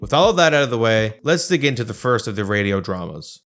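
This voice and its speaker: A man's voice: lowish voice, sing-song, fast, a bit bright. A man hosting and narrating a scripted video essay, with music playing behind his voice.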